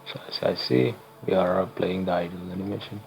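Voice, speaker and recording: Deep, gravelly young man explaining code. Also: steady tone, faint music behind, poor audio. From Sri Lanka. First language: not English. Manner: halting, self-correcting explanations with many fillers. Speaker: channel host, tutorial maker. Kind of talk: screen-recorded coding tutorial.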